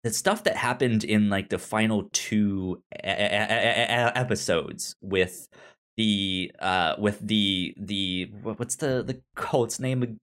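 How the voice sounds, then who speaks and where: Low-pitched, slow, halting man. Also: a bit bright, clear, resonant tone. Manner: halting, often repeats and stammers on words. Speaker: podcast co-host reviewing a TV series. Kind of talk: casual discussion.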